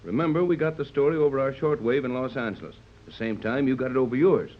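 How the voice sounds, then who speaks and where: An actor playing a steady, fatherly older yacht captain in a 1930s radio serial, measured and authoritative as he gives orders. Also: mid-range voice, close to an everyday voice. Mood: assured, correcting. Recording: muffled, noisy 1930s radio recording.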